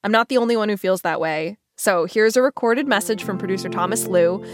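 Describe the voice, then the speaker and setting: A woman's voice: medium pitch, a little fast, over music. A woman hosting a podcast in a conversational style, moving between chat and introductions. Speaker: podcast host.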